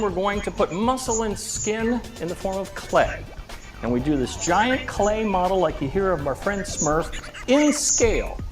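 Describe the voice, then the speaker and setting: High-pitched, animated American man over music. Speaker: behind-the-scenes presenter. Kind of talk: explanatory promotional presentation.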